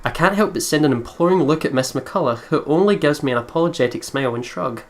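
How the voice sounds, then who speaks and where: A woman's voice: sits low, lively intonation, fast. A woman hosting a let's play, commenting casually and reactively, with some swearing. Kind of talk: casual gaming commentary with read-aloud narration.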